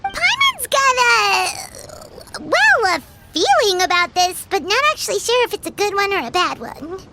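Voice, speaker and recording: Game companion: tiny, cheeky, excitable childlike sprite, extremely high and squeaky, loud noise behind. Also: female voice, measured pace, full character voice. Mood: puzzled. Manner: refers to self in third person, exclamatory. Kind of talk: animated video game voice acting.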